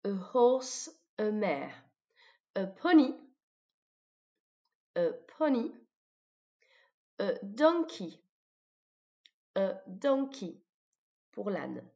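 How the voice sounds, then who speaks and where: A French-accented woman teaching vocabulary in a didactic way, repeating words deliberately for pupils. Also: medium pitch, sing-song, creaky, a little mellow. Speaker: English teacher. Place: voice-over of a screen recording. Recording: dull lo-fi microphone sound.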